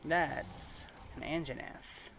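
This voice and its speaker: A man's voice: a little high, fairly even intonation, slow, creaky, fairly bright tone, slight background noise. A man giving casual gaming commentary in an offhand, mildly grumbling way, with some swearing. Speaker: gameplay video host.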